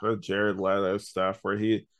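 A man chatting casually as a podcast host, hedging and thinking out loud as he reviews. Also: lowish voice, little pitch movement, measured pace.

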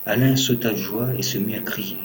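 A man's voice: lowish voice, fairly even intonation, normal speed, slight background noise, low-quality recording. A man narrating a scripted audio fiction as a storyteller.

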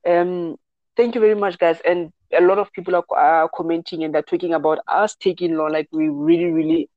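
A man's voice: a little high, steady tone, brisk, dry recording, highs cut off. A man co-hosting a casual MMA podcast over a remote call, easygoing, with frequent "yeah" and "um". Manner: easygoing, says "yeah" and "um" often. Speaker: podcast co-host.

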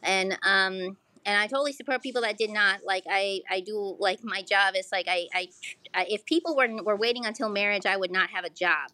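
A woman's voice: medium pitch, brisk, sharp tone, dry recording. A woman with an American accent speaking candidly in a casual interview, swearing freely and often saying "like". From United States. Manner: frank, casual, sweary, frequent "like". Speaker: podcast guest, pole dancer.

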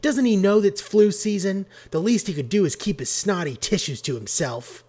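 Man voicing a brash, loud, higher-pitched woman. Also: moderate pace. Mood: indignant. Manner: blunt, exclamatory, indignant. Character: brash, hungry, blunt woman, loud complainer. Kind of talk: audiobook character voice.

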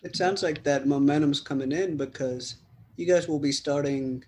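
A man's voice: a little high, fairly even intonation, normal speed, a little mellow. A man from the United States interviewing a footballer, asking long, rambling questions in a chatty way.

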